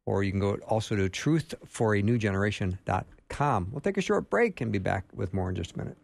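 A man's voice: fairly low pitch, animated, brisk, vocal fry, darkish tone. A man hosting a radio interview show in an enthusiastic, friendly manner.

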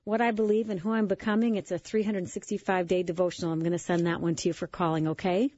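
Husky-voiced woman radio host with a cold. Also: flat delivery, quick pace, dark tone, no echo, lo-fi sound. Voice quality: low voice. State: has a cold.

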